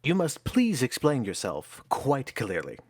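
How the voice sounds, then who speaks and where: An actor playing a courteous but brisk theatre company manager, a man speaking in a stage drama. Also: mid-range voice, wildly swooping pitch, breathy edge, dry recording, studio quality, heavily acted. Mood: curious, impatient.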